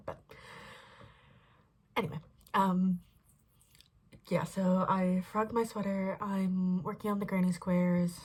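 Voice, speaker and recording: A young woman chatting casually about her crochet projects, rambling with many fillers and restarts, her voice a little husky. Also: medium pitch. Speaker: crochet vlogger. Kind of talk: casual chat.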